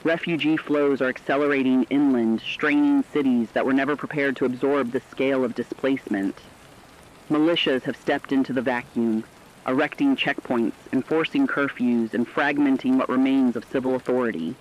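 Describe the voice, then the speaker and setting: A solemn crisis-radio anchor acted by a man speaks in measured, dramatic lines at a middling pitch. The sound is dull and lo-fi, like an emergency broadcast in a radio drama. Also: steady tone, natural voice. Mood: somber, urgent. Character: somber radio news anchor reporting a collapsing war. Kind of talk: scripted fictional emergency news broadcast.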